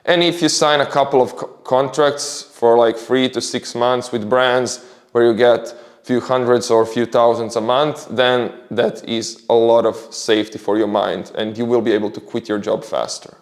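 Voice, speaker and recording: A man's voice: mid-range voice, steady tone, normal speed. Man giving upbeat career tips. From Croatia. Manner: upbeat, explanatory, enthusiastic. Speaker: creator giving career advice. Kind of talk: casual advice monologue.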